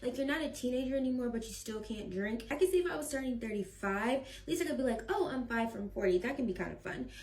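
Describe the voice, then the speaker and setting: Fast-talking woman in a roomy space. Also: on the high side, expressive, darkish tone. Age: early 30s. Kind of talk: casual chat to followers. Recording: roomy, distant mic, faint background noise.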